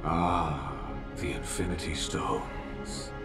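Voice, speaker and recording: An actor playing a sinister demon lord in a video game, theatrical and deliberate, with silky menace. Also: male voice, very deep voice, steady tone, over music, heavily acted. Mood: scheming, reverent. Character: sinister, grandiose demon lord of another universe. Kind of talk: dramatic video game villain acting. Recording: dramatic score clearly behind.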